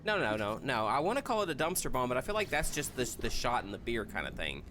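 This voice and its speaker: A man's voice: mid-range voice, very animated, fast, background noise. A man chatting casually and telling rambling anecdotes as a co-host of a gaming show. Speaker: video game show co-host. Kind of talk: casual chat.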